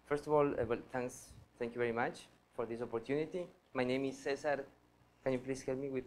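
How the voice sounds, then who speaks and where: A man in his thirties from Ecuador presents at a research workshop. His voice sits at an ordinary middle pitch, and he speaks earnestly, stopping often to restart his sentences. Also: steady tone, brisk. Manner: hesitant, many fillers and restarts, earnest. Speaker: PhD student presenter. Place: lecture hall.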